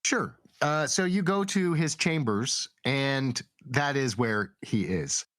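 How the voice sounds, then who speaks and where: A man narrating a tabletop game as game master, improvising in a halting, deliberate way. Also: medium pitch, lively intonation, a bit bright, no room sound, clean studio sound. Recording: music behind the voice.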